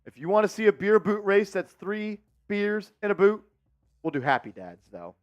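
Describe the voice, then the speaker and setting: Male stream host, high ringing voice, calls plays with excitement over faint music. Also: normal speed, muffled recording. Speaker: watch party host. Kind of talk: live-stream watch party chat and play-by-play.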